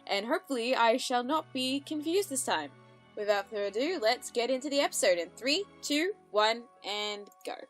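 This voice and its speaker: A woman's voice: a little high, normal speed. A woman giving a casual, rambling reaction commentary, thinking aloud as she watches. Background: animated audio drama playing with music.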